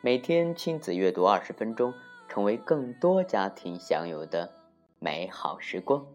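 A woman with a very deep voice tells a children's story slowly and expressively, her pitch rising and falling a lot. She sounds a little muffled, and music plays along. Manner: animated, deliberate, dramatic emphasis. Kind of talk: expressive children's folktale narration.

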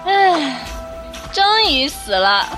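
A woman playing a shrill, ruthless, greedy villager in an audio drama. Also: big pitch swings, very slow, music in the background, exaggerated voice. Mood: relieved.

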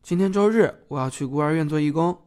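A man's voice: on the high side, fast talker, a little mellow, natural voice. A man acting a playful, stubborn young man in an audio drama. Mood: evasive, brisk.

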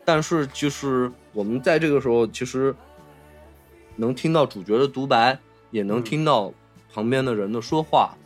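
A man's voice: mid-range voice, normal speed, soft background music. A man with a Mainland Chinese Mandarin accent hosting a casual film discussion, explaining and rephrasing his points as he goes. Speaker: podcast host.

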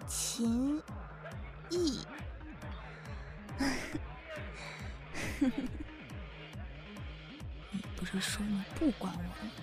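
A woman playing a clingy, drunken, scornful young jilted lover in a modern Chinese audio drama, with a dramatic, drawn-out delivery. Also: mid-range voice.

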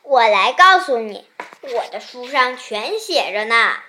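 A female reader plays a bold young storybook rabbit, high and bright. The little hunter is excitable and emphatic, with big leaps in pitch. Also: unhurried, full character voice. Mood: eager.

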